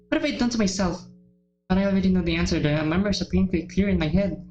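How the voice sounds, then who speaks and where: A woman's voice: low voice, darkish tone, lo-fi sound, slight background noise, natural voice. A woman voicing an obsessive, unstable lovesick teenage girl from a horror game in a dramatic reading, swinging between sweet and menacing. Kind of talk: dramatic fan-fiction reading. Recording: roomy echo with faint music behind.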